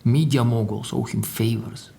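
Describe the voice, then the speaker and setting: An actor playing a proud, solemn Russian secret service agent in an audio drama, speaking gravely and boastfully in deliberate, dramatic lists. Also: male voice, medium pitch, steady tone, mellow. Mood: grave, impressed.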